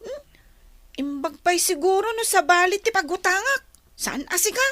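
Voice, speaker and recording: A woman's voice: very high voice, lively intonation, normal speed, fairly bright tone, no echo. A woman with an Ilocano accent playing a spendthrift, wheedling young daughter in a radio comedy drama, whining and pushy. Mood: sulky, pleading.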